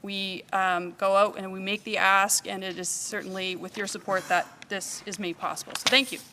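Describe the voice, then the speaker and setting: A woman's voice: medium pitch, level tone, pushed and bright, big-room sound, a little hiss or hum. A woman hosting a conference, reading sponsor thanks and a formal welcome at a podium microphone. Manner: brisk, formal thank-you list, then welcoming speech. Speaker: event host. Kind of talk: conference opening remarks. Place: large conference hall. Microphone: podium microphone, at times off.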